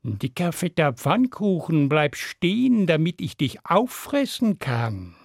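A man voicing a hungry cat in a storybook tale, low-key and demanding. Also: big pitch swings, slow pace, vocal fry, no echo, clean studio sound, full character voice. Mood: menacing. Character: hungry, menacing street cat ordering prey to stop.

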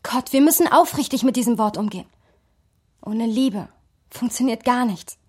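An actress playing a thoughtful, passionate literary young woman in a radio drama, speaking in reflective, earnest, measured phrases. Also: a little high, darkish tone, breathy edge, no room sound, clean studio sound. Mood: earnest, intimate. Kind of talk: radio drama narration and dialogue.

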